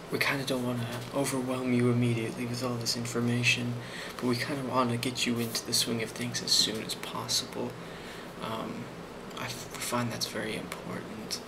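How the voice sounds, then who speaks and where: A man speaking softly in an ASMR role play as a boss, hesitant and reassuring, with frequent pauses. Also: medium pitch, background noise. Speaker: ASMR role-player playing a boss giving a review.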